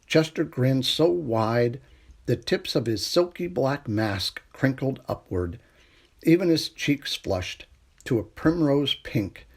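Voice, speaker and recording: Husky man reading a children's story. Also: medium pitch, expressive, takes it slowly. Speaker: storytime reader. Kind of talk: expressive children's storybook narration.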